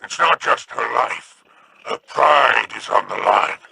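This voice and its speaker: An actor voicing a sly, scheming male crime boss with a smooth, sardonic drawl, in video game dialogue. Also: medium pitch, slow, noisy background.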